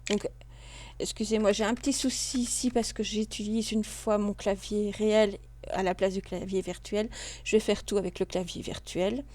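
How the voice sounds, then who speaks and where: A woman, a computer class instructor calmly talking through a screen tutorial step by step. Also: mid-range voice, moderate pace. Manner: patient, step-by-step, with small restarts and fillers. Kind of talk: screen-recorded tutorial narration.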